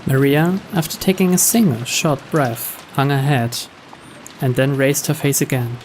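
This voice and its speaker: A man's voice: mid-range voice, measured pace, poor audio. A man reading a game's text aloud as dramatic scripted narration. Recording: steady rain noise behind. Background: rain.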